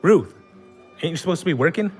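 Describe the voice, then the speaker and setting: An actor playing a rude, thirsty barfly in an audio drama, crude and demanding. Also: male voice, on the high side, animated, brisk, a little mellow, faint music behind, natural voice. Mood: pushy.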